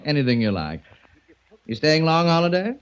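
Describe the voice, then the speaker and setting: A sneering, bitter husband. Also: male voice, fairly high pitch, very animated, measured pace, clear, resonant tone. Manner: sneering, cutting. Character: bitter, mocking married man, jealous cousin. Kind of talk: 1940s radio drama acting. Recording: muffled old radio broadcast.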